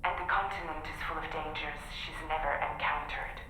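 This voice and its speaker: An anxious, caring female crewmate from a game, with a low but bright voice. She pleads earnestly in a strongly reverberant space. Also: fairly even intonation, fairly quick. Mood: worried, pleading. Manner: earnest, urgent. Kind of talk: dramatic video game cutscene acting. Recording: very echoey with faint background noise.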